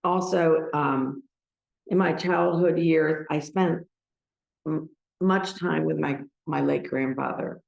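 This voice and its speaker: Creaky-voiced older American woman, roomy sound. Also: deep voice, fairly even intonation. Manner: emotional, halting. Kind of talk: personal talk to a gathering.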